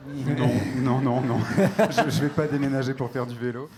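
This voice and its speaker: A young man from northern France chatting casually on a dating show, relaxed, giving short replies and often answering "ok". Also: medium pitch, normal speed, crowd noise. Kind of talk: casual chat.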